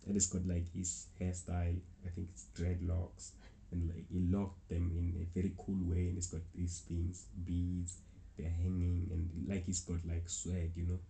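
A man's voice: deep voice, monotone, moderate pace, vocal fry, mellow, slight background noise. A young man from South Africa with a South African accent, telling a rambling travel story in a casual way. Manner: rambling, restarts often, chuckles. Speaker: travel vlogger.

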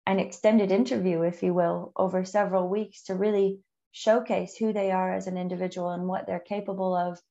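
A woman who is a native English speaker, hosting a conversational interview in a measured, encouraging way with frequent ums. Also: on the low side, steady tone, vocal fry, mellow, highs cut off. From outside the UK. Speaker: podcast host.

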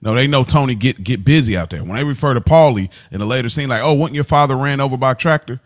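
A man's voice: medium pitch, fast talker, no echo, clean studio sound. An American man hosting a casual podcast about a TV series, talking in a slangy, conversational way and repeating phrases to make his point. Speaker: podcast host.

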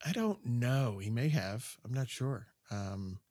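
A man's voice: medium pitch, animated, measured pace, darkish tone, dry recording, studio quality. A man in his late fifties chatting casually as a podcast guest, reminiscing and telling anecdotes. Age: late 50s.

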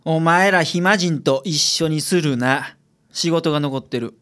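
An actor playing a sardonic but kind private detective in a radio drama, with a Kansai accent and a blunt, measured way of talking. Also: male voice, a little high, a bit bright. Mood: grumbling, teasing. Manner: blunt with colleagues, measured and polite with clients. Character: gruff, sardonic male private detective, shrewd and secretly kind.

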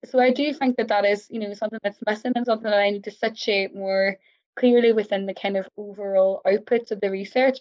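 A woman's voice: mid-range voice, highs cut off. A woman with an Irish accent, a researcher answering interview questions reflectively. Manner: reflective, thinks aloud with many fillers. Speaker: researcher guest. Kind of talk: conversational interview.

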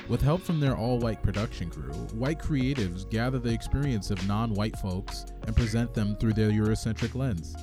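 A man's voice: fairly low pitch, moderate pace, few pauses, music in the background. A man hosting a podcast, moving between scripted introduction and casual chat. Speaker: podcast host.

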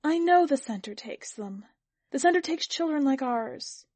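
A woman's voice: sits high, big pitch swings, moderate pace, mellow, dry recording, pitched above the performer's own voice. A woman reading the part of a put-upon young wife in a story, earnest and pleading under strain. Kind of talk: dramatic audiobook character reading.

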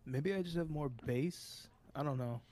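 A man's voice: fairly high pitch, measured pace, mellow, no echo. A young man co-hosting a podcast who chats casually and throws in teasing jokes. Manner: wisecracking, teasing interjections. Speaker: podcast co-host. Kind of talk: casual chat.

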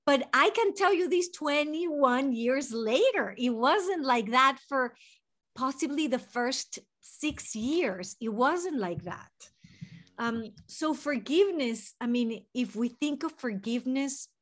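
A woman's voice: sits high, animated, takes it slowly. A woman in her forties from Venezuela with a Venezuelan Spanish accent, a therapist speaking earnestly and reflectively as a podcast guest. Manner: earnest, reflective, speaks in long unfolding sentences. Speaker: guest, licensed mental health therapist. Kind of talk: podcast interview.

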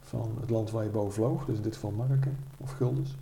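Very dark, gravelly man in an echoey room. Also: on the low side, a little fast, far from the mic, slight background noise. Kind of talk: conversational explanation.

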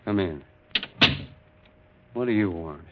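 A man's voice: deep voice, expressive, slow, heavy background noise. A man acting in a 1940s radio drama as an embittered, vengeful prospector, menacing and melodramatic. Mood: guarded, bitter. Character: embittered prospector brother, vengeful, threatening as he dies. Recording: dull, lo-fi old radio recording.

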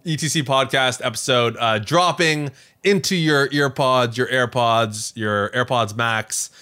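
A man co-hosting a podcast, chatting casually in an animated, playful way. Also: mid-range voice, a little slow, smooth. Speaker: podcast co-host.

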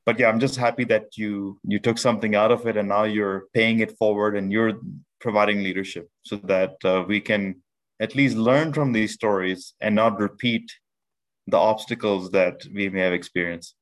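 A man from Pakistan in his thirties, a podcast guest telling his life story in a measured way with many restarts. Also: on the low side, fairly even intonation. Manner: measured, with frequent restarts and fillers. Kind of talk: reflective storytelling interview.

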